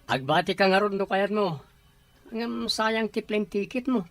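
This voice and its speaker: A woman's voice: medium pitch, a little slow, no room sound, lightly acted. A woman voicing a blunt, impatient man talking to a buddy in an audio drama. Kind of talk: Ilocano audio drama acting.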